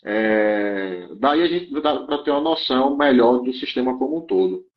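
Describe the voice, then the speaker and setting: A man walks through a set of rules in an interview setting at a steady, middling pitch. He keeps checking that his listener follows and often restarts half-finished phrases. The sound is a little dull and muffled. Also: normal speed. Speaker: guest explaining German immigration rules. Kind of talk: explanatory interview talk.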